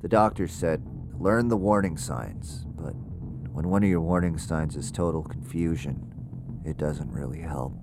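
An actor playing a haunted, uneasy man with seizures, confiding in a halting, introspective audio drama monologue. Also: very deep voice, gravelly, music in the background, full character voice. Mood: anxious, reflective.

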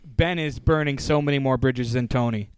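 A man's voice: medium pitch, moderate pace, clear, resonant tone. A man hosting a podcast, chatting casually and rambling with frequent self-corrections. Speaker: podcast host. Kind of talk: casual chat.

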